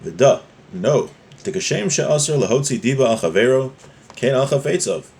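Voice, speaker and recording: Male religious teacher, mid-pitched, informal and explanatory, moving between reading a legal text and commenting on it. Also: animated, normal speed, creaky, darkish tone. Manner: explanatory, often checking with "right?".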